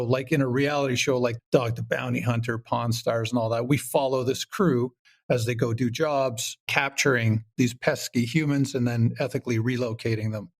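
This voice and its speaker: A man from Canada talking casually as an interview guest, animated and rambling, acting out his own thoughts as he goes. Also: mid-range voice, vocal fry. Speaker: audio comedy creator, podcast guest.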